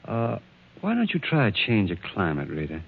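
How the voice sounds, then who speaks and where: An eager, affectionate suitor in a 1940s radio play. His husky voice is low and swings freely in pitch. The recording is old and tinny. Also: wildly swooping pitch, normal speed, full character voice. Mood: eager, hesitant. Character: eager, affectionate bachelor artist courting a woman. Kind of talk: 1940s radio drama acting.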